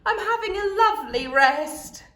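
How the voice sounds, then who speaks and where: A woman's voice: very high voice, animated, unhurried, sharp tone, roomy sound, pitched above the performer's own voice, full character voice. A woman reading a storybook and voicing a tired, polite mother. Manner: weary, polite. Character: tired mother needing rest.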